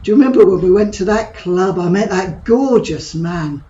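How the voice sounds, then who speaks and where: A woman's voice: medium pitch, expressive, normal speed, warm tone, highs cut off, close to an everyday voice. A woman playing Gabby, a cheeky, provocative middle-aged friend who mocks and prods with blunt humour in an audio drama. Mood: scornful. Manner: teasing, blunt and mocking.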